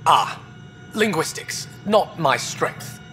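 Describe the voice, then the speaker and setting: Courteous, bright-voiced alien astronomer. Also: male voice, a little high, expressive, faint music behind, full character voice. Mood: earnest, concerned. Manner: formal, measured, reverent phrasing. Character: courteous, earnest male alien astronomer, awed and scholarly. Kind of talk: video game dialogue acting.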